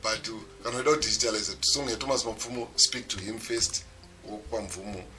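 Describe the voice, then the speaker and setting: Zimbabwean man, low voice, mic far off. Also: steady tone, fast talker, music in the background. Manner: mixes English and Shona mid-sentence, explanatory. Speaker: music producer giving a seminar. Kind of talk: WhatsApp voice-note lecture. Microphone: phone.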